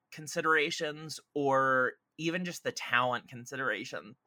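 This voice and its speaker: Bright, sharp-voiced man, opinionated podcast guest. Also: on the high side, no echo. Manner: opinionated, emphatic. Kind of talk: casual podcast discussion.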